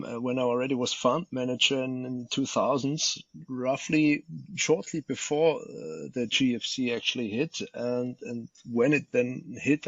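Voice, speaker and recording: Gravelly Austrian-accented man, halting speech. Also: mid-range voice, dry recording, lo-fi sound. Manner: halting, many repeated words and fillers. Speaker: podcast guest, fund manager. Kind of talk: unscripted interview answer.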